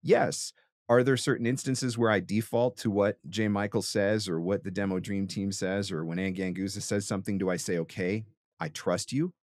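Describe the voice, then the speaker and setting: A man's voice: lowish voice, fairly even intonation, normal speed, darkish tone, dry recording. A man hosting a podcast, giving conversational advice emphatically, with rhetorical questions and frequent check-ins. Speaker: podcast host and voice-over coach.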